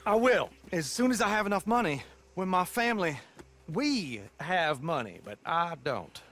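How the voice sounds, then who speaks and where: An actor playing a plaintive, lovesick young dandy in a video game, nervy in manner. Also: male voice, high-pitched, sing-song, moderate pace, a bit bright, full character voice. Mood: anxious, bitter. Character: fretful, lovesick young dandy of rich Southern family. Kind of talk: video game dramatic acting.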